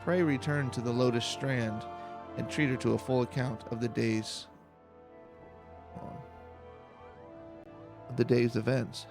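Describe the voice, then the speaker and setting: A man narrates a calm video game playthrough, reading the story text aloud. His mid-pitched voice has a creaky, gravelly edge. He keeps a relaxed manner, with occasional stumbles, over faint music. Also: unhurried, pauses often. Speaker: let's play streamer.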